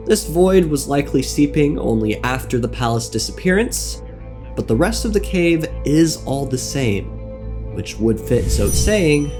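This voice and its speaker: Slow, expressive male video essayist. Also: fairly high pitch. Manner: wry, deadpan asides. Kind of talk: scripted game lore narration. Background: music.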